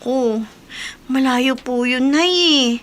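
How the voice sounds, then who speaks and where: An actress playing a worried, earnest younger sister in a Filipino radio drama, pleading and emotive. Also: female voice, sits high, slow pace, a bit bright. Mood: anxious, polite.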